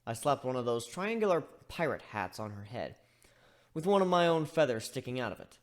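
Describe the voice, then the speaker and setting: A chatty male griffin voiced by a man tells his own fantasy story. His delivery is wry and conversational, wandering off into asides, and his pitch moves around in an animated way. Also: a little fast, natural voice. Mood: wry, rambling. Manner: conversational, rambling, self-interrupting. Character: chatty male griffin, wry, rambling first-person narrator. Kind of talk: dramatic reading of fan fiction, storytelling.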